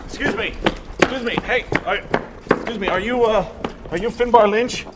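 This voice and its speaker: A high-pitched, earnest American radio reporter acted by a man stammers and insists. He is recorded as if out on location in a radio play, with loud outdoor noise all around. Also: normal speed, close to an everyday voice. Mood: flustered. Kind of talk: mock-documentary field interview acting.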